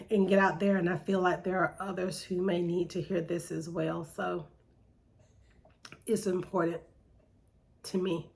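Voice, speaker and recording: A middle-aged woman and poet, speaking reflectively and reading her poems in a slow, deliberate way with pauses, a little nasal from allergies. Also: fairly low pitch, creaky. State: slightly nasal from seasonal allergies. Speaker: poet hosting her own segment. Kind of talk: reflective spoken monologue with poetry reading.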